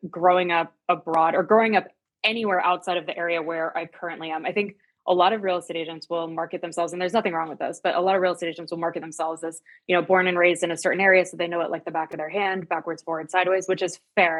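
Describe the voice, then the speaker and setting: A woman speaks casually as a real estate agent being interviewed. Her voice sits a little low. She is chatty and emphatic, often repeating a word to drive it home. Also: steady tone, quick pace. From United States and Germany.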